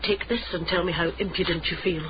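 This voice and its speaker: A man's voice: high-pitched, fairly even intonation, quick pace, lightly acted. A man playing a cold, secretive, commanding client with a clipped, imperious way of talking in a period radio drama. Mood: haughty, guarded. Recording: muffled radio broadcast, clear background noise.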